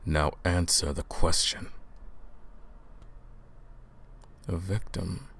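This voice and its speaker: A man playing a centuries-old vampire hunter in a roleplay audio drama, stern and commanding, speaking deliberately and questioning the listener. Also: sits low, fairly even intonation, vocal fry, fairly bright tone, husky, full character voice. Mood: stern, demanding.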